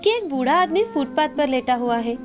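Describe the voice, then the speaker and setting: A woman's voice: high-pitched, animated, a little fast, faint music behind. A woman narrator reading a scripted moral tale in storytelling style.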